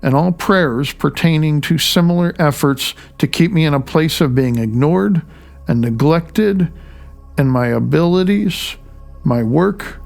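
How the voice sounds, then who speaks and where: A man reading his own audiobook as its author, in a deliberate, emphatic way, phrase by phrase. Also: fairly high pitch, vocal fry. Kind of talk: audiobook narration with recited affirmations and asides.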